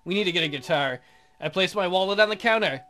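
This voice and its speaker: A man's voice: high-pitched, quick pace, bright, forceful tone. A man hosting a let's play, reading visual novel narration in an informal way and interrupting himself with casual commentary. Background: music with guitar.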